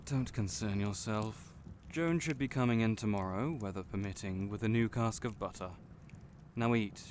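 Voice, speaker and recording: A man's voice: lowish voice, moderate pace, lightly acted. A man playing a friendly, teasing young innkeeper in a fantasy audio roleplay, chatty and playful, speaking right to the listener. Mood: genial, chatty. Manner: chatty, playful, genial storyteller. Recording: noisy storm and fire ambience behind. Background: storm and crackling fire ambience.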